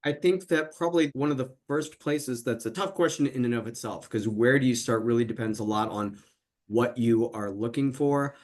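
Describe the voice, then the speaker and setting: A man's voice: medium pitch, a little mellow. A man who is a clerkship director, answering a question thoughtfully on a webinar panel. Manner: thoughtful, measured, hedging advice.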